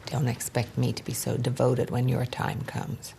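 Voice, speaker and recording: World-weary, reproachful wife, low female voice with fry and wide swings, naturalistic drama, noisy recording. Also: completely flat delivery, normal speed, full character voice. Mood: bitter, sardonic. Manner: reproachful, sardonic. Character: comedian's exasperated, blunt, world-weary wife.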